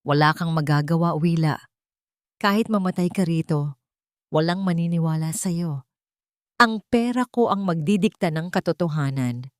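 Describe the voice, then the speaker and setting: A woman's voice: fairly low pitch, moderate pace, pauses often, dry recording, studio quality, close to an everyday voice. A woman voicing a cruel, haughty rich older matriarch in a story, scornful and imperious. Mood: cold threat.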